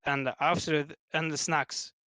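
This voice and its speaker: Young Russian-accented male guest, a touch high, halting casual speech. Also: level tone, pushed and bright, no echo. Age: 20s. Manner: halting, many restarts and fillers. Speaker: guest, pro gamer. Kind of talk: casual interview chat.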